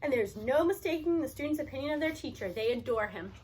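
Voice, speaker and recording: A woman reading a story aloud in expressive first-person narration, with animated delivery and emphatic stresses. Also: high-pitched, quick pace, slight background noise, rough recording.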